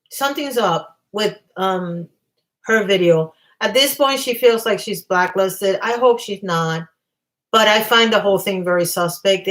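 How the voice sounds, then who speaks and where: A woman hosting a casual livestream while losing her voice, rambling and often clearing her throat. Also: a little high. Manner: chatty, reads viewer comments aloud. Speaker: livestream host.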